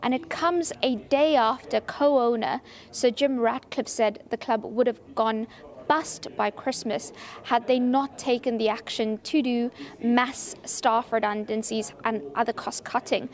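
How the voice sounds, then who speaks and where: High-pitched woman reporting sports news. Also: fairly even intonation, measured pace. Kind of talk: broadcast news reporting and interviewing.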